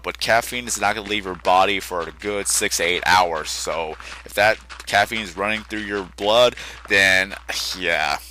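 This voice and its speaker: Tired young man, deep and bright. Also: slight background noise, low-quality recording. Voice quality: soft, quiet voice. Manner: rambling, self-correcting chatter. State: tired from little sleep. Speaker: gaming channel host. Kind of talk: casual gameplay commentary. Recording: game music faintly underneath. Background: faint game music.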